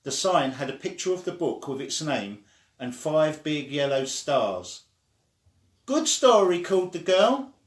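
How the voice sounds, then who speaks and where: A man reading a children's story aloud in an expressive way, acting out the quoted lines. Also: a little high, measured pace. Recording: echoey room.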